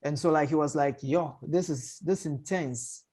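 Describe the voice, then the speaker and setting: A man's voice: fairly high pitch, measured pace, dark tone, breathy edge. A man hosting an online panel discussion, informal and chatty, rephrasing as he goes. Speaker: host, panel moderator. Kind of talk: casual chat, moderating an online discussion. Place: online video call.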